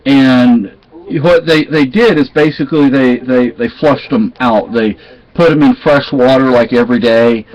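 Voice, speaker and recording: Southern-accented Texan man, casual vlogger, folksy and meandering, mid-pitched, muffled lo-fi sound. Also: a little mellow. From Texas. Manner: rambling, folksy, with many restarts. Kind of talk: casual storytelling chat. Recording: dull lo-fi sound.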